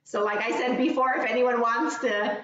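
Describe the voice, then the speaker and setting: A woman's voice: fairly high pitch, moderate pace. Echoey far-off woman from Argentina. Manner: warm, chatty, with frequent restarts and light laughs. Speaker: dietitian leading a cooking class. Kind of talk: casual presentation over a video call. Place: home kitchen. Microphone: video call. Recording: very echoey, distant, dull video-call audio.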